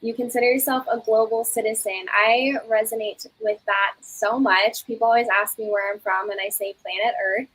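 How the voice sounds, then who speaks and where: A woman's voice: on the high side, fairly even intonation, normal speed, few pauses, a bit bright, slightly rough, rough recording. A woman hosting an online community session, chatty and encouraging, with frequent ums. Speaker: community host.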